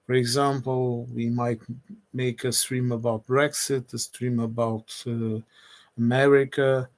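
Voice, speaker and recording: A man in his forties from Portugal with a Portuguese accent, talking without a script on a solo livestream and pausing often to restart his phrases. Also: mid-range voice. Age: 40s. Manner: hesitant, pauses often with restarts and fillers. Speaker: streamer. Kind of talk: unscripted solo livestream chat.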